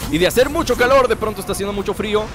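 A young man with a Mexican accent, stuffy from a cold, giving playful, jokey commentary over a video game he is playing, breaking into excited shouts. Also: sits high, racing. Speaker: gameplay commentator. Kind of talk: casual let's-play commentary. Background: game music.

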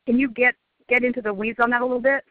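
A woman's voice: on the high side, fairly even intonation, racing, no echo. A woman calling in to a conference call, speaking casually and emphatically over the phone. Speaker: caller asking a question. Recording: tinny, narrow phone line.

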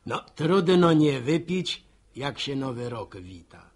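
Jovial postman for radio drama: husky, slow, animated, chatty. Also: mid-range voice, exaggerated voice. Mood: jocular. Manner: chatty, folksy, chuckling storyteller. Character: jovial, folksy village postman giving New Year wishes.

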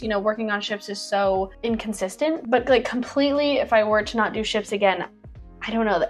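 A young woman in her twenties talks in an easy, chatty Q&A style, reading each question aloud and answering it loosely with plenty of fillers. Her voice sits at an ordinary middle pitch. It is close and clear on a clip-on mic in a small bedroom, with light music faint underneath. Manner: chatty, reads questions aloud then answers freely, frequent "like" and laughs. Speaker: cruise ship performer and vlogger. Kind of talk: casual Q&A to camera. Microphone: clip-on lapel mic.

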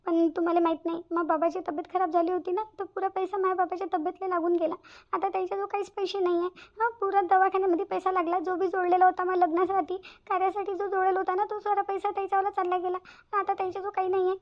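A woman's voice: very high voice, little pitch movement, fast, muffled recording. A woman with a Varhadi Marathi accent voicing a selfless, earnest young bride-to-be in a cartoon family drama. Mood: distressed, earnest. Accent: Varhadi Marathi from Vidarbha. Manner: earnest, repeats phrases emphatically. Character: anxious, selfless young bride-to-be, tenderly devoted.

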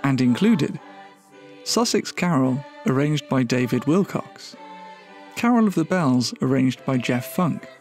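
A man with a medium-pitched voice delivers scripted credits. He announces clearly as narrator, with music playing behind him. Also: lively intonation, a little slow, darkish tone.

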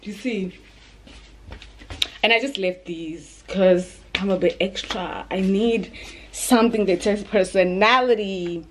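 A woman's voice: medium pitch, slow pace, a little hiss or hum. Chatty young woman vlogging. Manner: informal, chatty, thinking aloud. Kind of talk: casual chat to camera. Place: indoors, bedroom and bathroom at home.

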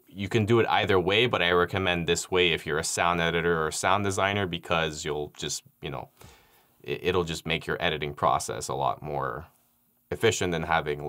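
Low-voiced young man teaching audio software. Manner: relaxed explanatory, frequent fillers. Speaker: audio editing tutor. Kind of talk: software tutorial. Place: dark studio with piano. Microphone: studio microphone on stand.

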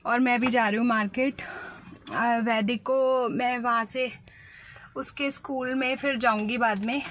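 Woman, 30s, casual vlog, phone held at arm's length, chatty and rambling, slightly high voice, noticeable background noise. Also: fairly even intonation, a little slow, fairly bright tone. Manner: chatty and rambling, coaxing when teaching her son. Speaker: vlogger and mother. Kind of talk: casual daily vlog chat. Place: in a car, then at home indoors.

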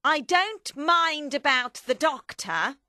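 A woman voicing a cold, vengeful revolutionary woman in a storybook reading, forceful, deliberate and ominous. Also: sits high, expressive, dry recording, studio quality, pitched above the performer's own voice, full character voice.

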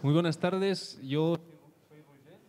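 A man introducing himself informally and a little haltingly on a panel. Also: on the high side, a little mellow. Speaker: panelist, technical artist. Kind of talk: informal self-introduction at a talk. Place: small theatre stage, panel table. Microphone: handheld microphone.

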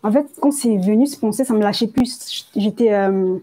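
A woman's voice: mid-range voice, normal speed, warm tone. A nineteen-year-old woman from Congo who grew up partly in France, giving a personal testimony in a hesitant, colloquial way with repeated words. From Congo, moved to France at age nine. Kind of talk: personal testimony, informal spoken storytelling.

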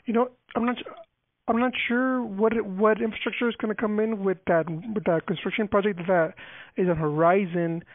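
A man with a high voice explains official procedure over the phone. He halts often with fillers and restarts. The line is tinny and thin, with a dry, close sound. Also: steady tone, normal speed, no room sound. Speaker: transportation department official. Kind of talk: phone call.

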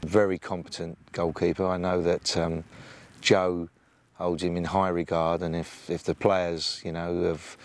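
An English man in his sixties with a booming voice, speaking plainly with many ums and restarts as an assistant football coach in a sit-down interview outdoors by a training pitch. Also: little pitch movement, unhurried. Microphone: off-camera mic, no lapel.

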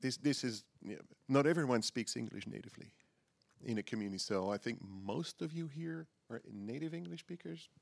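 Gravelly Dutch-accented man on a panel. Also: mid-range voice, animated, no room sound. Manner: halting, searching for English words. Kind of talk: conference panel discussion. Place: conference room stage. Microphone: handheld microphone.

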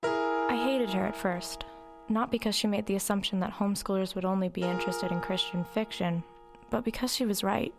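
A woman's voice: mid-range voice, fairly even intonation, a little fast, over loud music, natural voice. A woman playing a wry, reflective narrator recalling her troubled girlhood in a confiding first-person dramatic narration.

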